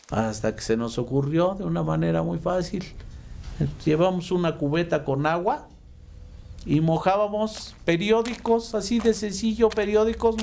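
A man's voice: mid-range voice, darkish tone. A man with a Mexican accent, a radio sound effects artist telling anecdotes casually and colloquially in an interview. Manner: colloquial, acts out remembered dialogue. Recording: clear background noise. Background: background noise.

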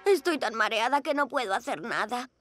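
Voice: mid-range voice, animated, a little fast, lightly acted. An actor playing a bossy, feisty young girl superhero in a children's cartoon dub, assertive and indignant. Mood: urgent, bossy. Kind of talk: animated children's cartoon dubbing. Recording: loud music behind the voice.

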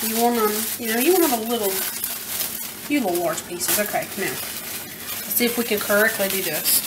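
A chatty woman in her thirties talks through a recipe in a roomy home kitchen, her slightly high voice caught by a camera mic across the room. She jokes at her own slips as she works, and pots and utensils clatter behind her. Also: far from the mic, noisy background. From American South. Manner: chatty and self-deprecating, talking while working. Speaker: home cooking vlogger. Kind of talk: casual cooking demonstration. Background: clinking pots, pans and utensils.

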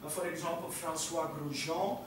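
Belgian-accented lecturer in an echoey hall. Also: male voice, fairly high pitch, steady tone, moderate pace, far from the mic, background noise. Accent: Belgian Dutch. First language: Dutch. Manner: frequent "uh" fillers, walks about while explaining. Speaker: university lecturer. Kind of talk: academic lecture. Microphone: room mic far from speaker.

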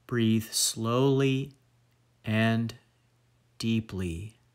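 A man leading a guided meditation as instructor, speaking in measured phrases with long pauses. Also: fairly low pitch, smooth.

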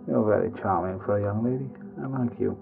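Gravelly sergeant played by a man, tinny radio. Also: lowish voice, heavily acted. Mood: wryly amused. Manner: stern, dry, commanding. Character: gruff, wry male sergeant of elite soldiers. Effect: gas mask radio filter. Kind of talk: audio drama acting. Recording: tinny narrow radio sound, music behind.

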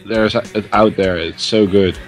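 A man's voice: lowish voice, fairly even intonation, a little slow. A young man chatting casually as a co-host reviewing things on a group video call. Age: young adult. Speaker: co-host of a track review stream. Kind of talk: casual chat, commentary. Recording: music playing behind the voice. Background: music.

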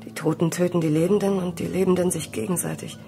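An actress plays a shaken woman survivor in a radio play. Her voice is fairly low and weary, and music is clearly audible behind it. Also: steady tone, fast, mellow, close to an everyday voice. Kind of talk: German radio drama acting.

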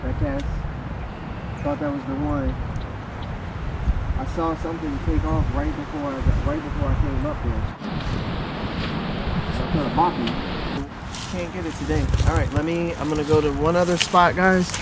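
A man vlogging while fishing at a marshy pond, talking excitedly to his viewers and his child. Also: on the high side, moderate pace, long pauses. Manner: excitable, talks to viewers as "guys". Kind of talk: casual outdoor vlog narration. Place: outdoors by a marshy pond in woods. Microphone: body-worn action camera. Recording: outdoor camera with clear background noise.

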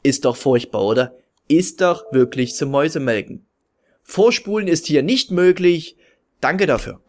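A man's voice: fairly high pitch, animated, normal speed. A man with a regional German dialect doing casual, self-mocking let's-play commentary while racing in a game. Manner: chatty, self-mocking, rambling. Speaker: gaming video host. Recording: loud game music and engine noise behind.

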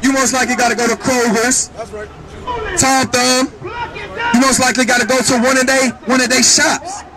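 High-pitched male street preacher, loud and insistent. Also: fairly even intonation, moderate pace, rough recording. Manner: loud, insistent, questioning bystanders. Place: under a canopy on a city street. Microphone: handheld microphone through a loudspeaker. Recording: outdoor street PA with crowd noise.

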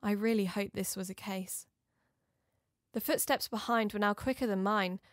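A woman's voice: medium pitch, normal speed, no room sound, lightly acted. Actress as uneasy female narrator, very dry sound. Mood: uneasy, reflective. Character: uneasy female investigator narrating her own story. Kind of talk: scripted first-person drama narration.

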